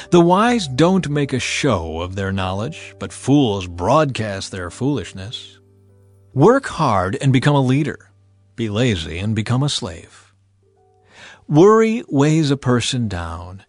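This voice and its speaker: A man narrating a dramatized audio Bible in a measured, emphatic way, with pauses between clauses. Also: mid-range voice. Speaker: scripture narrator. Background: background music.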